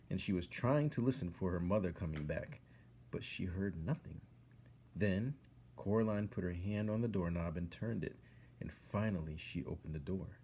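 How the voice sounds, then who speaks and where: A man reading a children's story aloud as a storyteller, expressively and with dramatic pauses. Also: sits low, dark tone, slight background noise. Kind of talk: narrated story read-aloud.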